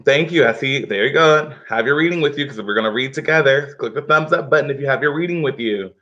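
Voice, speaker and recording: A man's voice: mid-range voice, fast, pushed and bright. A man teaching a live online reading lesson from his living room, speaking instructively and often checking in with his pupils. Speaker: schoolteacher. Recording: dull, lo-fi livestream audio.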